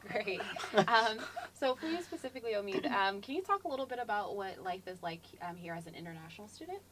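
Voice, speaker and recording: The woman who runs a campus admissions Q&A, reading out questions and giving measured advice over faint room noise. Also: medium pitch, animated, a bit bright. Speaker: admissions Q&A host. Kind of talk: informal explanatory advice.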